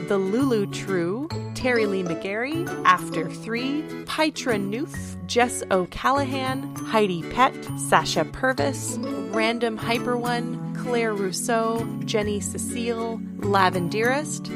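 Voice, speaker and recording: A woman co-hosting a podcast, reading out thanks to listeners. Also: on the high side. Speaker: podcast co-host. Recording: music playing behind the voice. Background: music.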